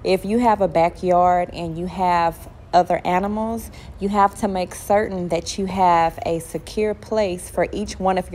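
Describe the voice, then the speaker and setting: Female narrator, scripted home-video voiceover, mid-pitched, with clear background noise. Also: a little mellow.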